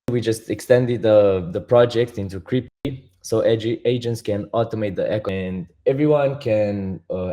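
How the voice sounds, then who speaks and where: A man with a Romanian accent talks through his project as a guest, in a low-pitched, warm, mellow voice. He restarts his sentences often and builds his points step by step. The sound is casual and conversational. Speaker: guest, crypto project founder. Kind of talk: casual interview talk.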